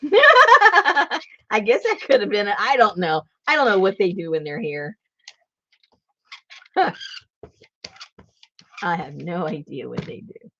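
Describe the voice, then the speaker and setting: A woman's voice: mid-range voice, sing-song, takes it slowly, pauses often, pushed and bright. A woman from Rhode Island chatting casually while she crafts, laughing often and telling stories. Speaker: craft livestream host. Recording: dull lo-fi sound.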